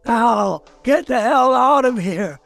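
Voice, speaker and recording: Cranky cowardly ghoul: high, gravelly, slow-talking, old-time radio drama style. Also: male voice, animated, faint music behind, full character voice. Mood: irate. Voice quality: creaky, gravelly. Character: cranky, cowardly undead male ghoul salesman.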